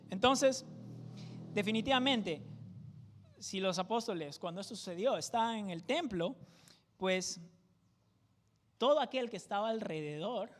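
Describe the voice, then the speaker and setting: A man with a fairly high voice and a Peruvian jungle accent teaches scripture verse by verse. His delivery is conversational, and he keeps tossing out quick check-in questions to the congregation. The sound carries a long, echoey church-hall reverb. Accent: Peruvian jungle (selva) Spanish. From Peru. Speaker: pastor teaching a Bible study. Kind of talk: verse-by-verse Bible teaching sermon.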